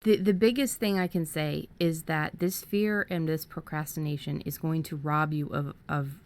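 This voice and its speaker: A woman's voice: sits low, fairly even intonation, moderate pace. A woman co-hosting a podcast, giving casual, earnest advice and often stumbling and repeating words as she goes. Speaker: podcast co-host. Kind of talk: casual conversational writing advice.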